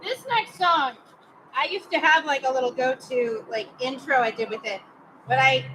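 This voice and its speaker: Bright high-voiced woman chatting on stage. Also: a little hiss or hum, low-quality recording. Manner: chatty and self-deprecating, with quick asides and fillers. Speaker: singer-songwriter performing live. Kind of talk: casual stage banter between songs. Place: live performance area before an audience. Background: acoustic guitar.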